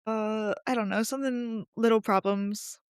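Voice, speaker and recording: A woman's voice: fairly high pitch, fairly even intonation, moderate pace, clear, resonant tone, no room sound, studio quality. Woman joking in youthful slang. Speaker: podcast co-host. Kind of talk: casual chat.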